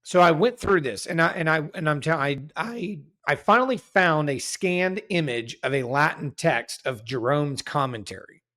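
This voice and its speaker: A man's voice: on the high side, lively intonation, normal speed, pushed and bright. A man as a guest researcher in a casual scholarly discussion, careful and self-correcting, explaining his findings at length.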